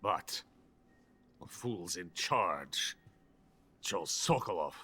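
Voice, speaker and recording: An actor playing a drunk, boastful older Soviet weapons scientist in a video game cutscene. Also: male voice, deep voice, expressive, very slow, pushed and bright, slightly rough, heavily acted. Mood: bitter, scheming. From Soviet Union. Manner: pompous, self-important, bitter.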